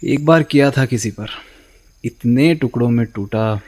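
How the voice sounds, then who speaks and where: A man's voice: medium pitch, a little slow, darkish tone, lightly acted. A man playing wry heartbroken young Darpan. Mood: wistful. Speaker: radio drama actor. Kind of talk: radio play acting.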